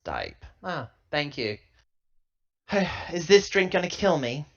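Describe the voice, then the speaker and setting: A man's voice: on the high side, very animated, slow, fairly bright tone, lo-fi sound, voice pushed up, full character voice. A man voicing a tough, impatient company woman in a tabletop game, blunt and demanding. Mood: brisk, confident.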